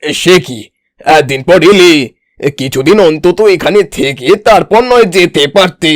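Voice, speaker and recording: A man's voice: fairly high pitch, animated, measured pace, no room sound, clean studio sound. A man voicing a proud village landlord uncle in a cartoon, with an emphatic, theatrical way of talking. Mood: genial. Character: proud village landlord uncle, genial but quick to outrage. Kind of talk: exaggerated cartoon dialogue.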